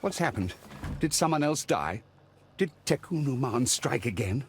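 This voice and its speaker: An actor playing a frail, bitter, obsessive dying man in a video game, speaking in a halting, theatrical way. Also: mid-range voice, very animated, a little hiss or hum, natural voice. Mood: frightened, agitated. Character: frail dying father, obsessive amateur archaeologist, bitter and haunted. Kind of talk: dramatic video game dialogue.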